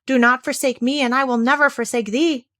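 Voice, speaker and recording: A woman's voice: sits high, steady tone, a little fast, fairly bright tone, pitched above the performer's own voice. A woman voicing a devoted little girl in a fairy tale, speaking with earnest, pleading, old-fashioned solemnity.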